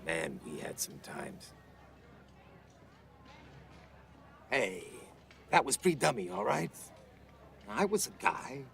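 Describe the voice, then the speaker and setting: An actor playing a crude, world-weary ventriloquist dummy in a TV drama, with a gravelly voice and brash old-time tough-guy slang. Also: on the low side, wildly swooping pitch, unhurried, fairly bright tone, full character voice. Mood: boastful, nostalgic. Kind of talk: television drama acting.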